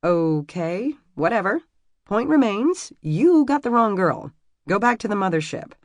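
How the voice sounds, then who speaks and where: A narrator voicing a flustered, sharp-tongued young woman doctor who bursts out in rapid, exasperated lines in an audiobook. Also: mid-range voice, lively intonation, dry recording, studio quality. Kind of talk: audiobook character voice.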